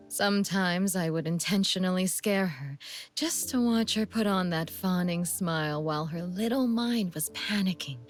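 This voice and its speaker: A woman playing a sly, menacing young female assassin in a video game, speaking in a teasing, deliberate manner. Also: on the low side. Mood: sly, amused. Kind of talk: scripted game character monologue.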